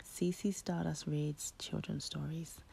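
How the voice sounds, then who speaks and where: A woman reading a children's picture book aloud in expressive, deliberate, dramatic phrases. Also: deep voice, vocal fry, husky. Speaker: children's story reader. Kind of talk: expressive storybook narration.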